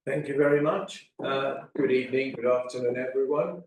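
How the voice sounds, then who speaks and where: Middle-aged male science teacher, gravelly, hesitant delivery, very echoey classroom. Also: mid-range voice, steady tone, moderate pace. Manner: hesitant, many ums and uhs. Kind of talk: teacher explaining an experiment. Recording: very echoey room.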